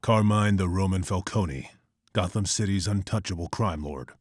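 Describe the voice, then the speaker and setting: A man's voice: sits low, moderate pace, slightly rough, dry recording, clean studio sound. A man voicing a grim, brooding vigilante detective who narrates in terse, clipped lines. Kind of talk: comic narration.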